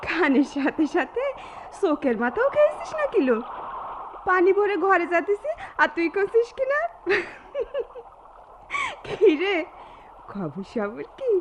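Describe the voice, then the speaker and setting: Saucy, very high-pitched village girl. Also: sing-song, unhurried, long pauses, slight background noise, heavily acted. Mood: teasing. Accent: rural Bangladeshi Bengali. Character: saucy, teasing young village girlfriend, sharp-tongued. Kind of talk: Bengali radio drama acting. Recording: muffled radio drama recording.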